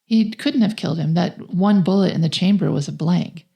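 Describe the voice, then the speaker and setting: A woman's voice: lowish voice, moderate pace, creaky, warm tone. A woman co-hosting a podcast, recapping a film's story in a conversational way. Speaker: podcast co-host. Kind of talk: conversational film plot recap.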